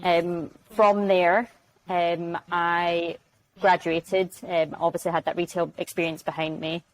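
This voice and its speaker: A young Scottish woman with a Glaswegian accent tells her story as an interview guest over a video call. Her voice sits a little low for a woman, and she chats easily, slipping into quick quoted back-and-forths. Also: flat delivery, low-quality recording. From Glasgow, Scotland. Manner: chatty, acts out little quoted exchanges. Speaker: guest, sales development rep.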